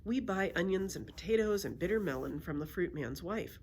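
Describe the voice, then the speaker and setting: A woman reading a children's story aloud in an expressive storytelling style. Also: on the low side, a little fast, slight background noise. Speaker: library story reader.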